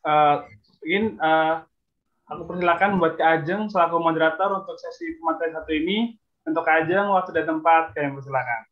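Young guy mc hyping up the event, playful, higher voice, sounds like a phone line. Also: fairly quick. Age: young adult. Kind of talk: lively MC banter. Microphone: video call webcam. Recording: tinny phone-like video call audio.

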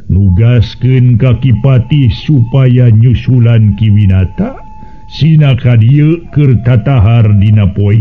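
A man voicing a grateful, dignified king in a radio story, soft-spoken, stately and measured. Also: medium pitch. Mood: commanding. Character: grateful, dignified king speaking softly to his court. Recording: dull lo-fi radio recording with music.

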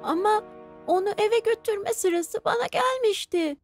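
Slow-talking, high-voiced little boy. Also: dry recording. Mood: sad. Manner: slow, simple, earnest childlike phrasing. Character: gentle, sensitive little preschool boy, often sad. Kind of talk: children's cartoon dubbing. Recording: studio dub over background music.

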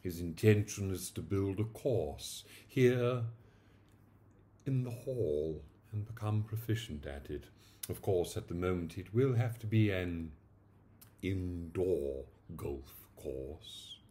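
A man voicing a deferential butler in a comic story, speaking in a formal, measured manner. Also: low voice, dark tone.

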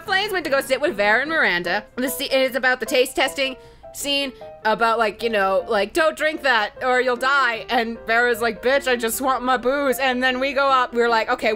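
A woman with a high, bright voice recaps things in casual, slangy style. She rambles and giggles, slipping into bits of dialogue as she goes. Music plays behind her. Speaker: let's play host retelling a game. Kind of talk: animated casual storytelling recap.

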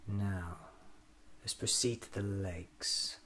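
A man's voice: deep voice, steady tone, slow, pushed and bright, a little hiss or hum. A man performing a whispered ASMR roleplay, murmuring methodically to himself as an eccentric doctor examining his own body.

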